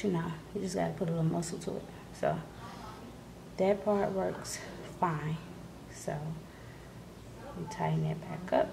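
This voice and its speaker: A woman with a fairly low, creaky voice talks in a hushed, casual way, like a vlogger showing off a product at home. Her delivery is unhurried, and the camera recording carries clear background noise. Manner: hushed, keeping voice down. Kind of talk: casual product demonstration chat. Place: living room at home, indoors.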